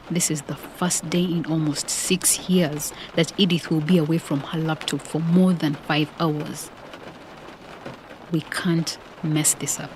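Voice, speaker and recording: A woman's voice: deep voice, darkish tone, a little hiss or hum. A woman playing a cool, commanding leader of a covert mission in an audio drama. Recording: music, rain and vehicle sound behind.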